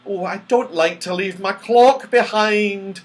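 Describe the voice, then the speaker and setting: A man voicing a gentle, wistful female fairy in a children's storybook, fretting softly. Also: high-pitched, slow, voice pushed up. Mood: wistful, worried.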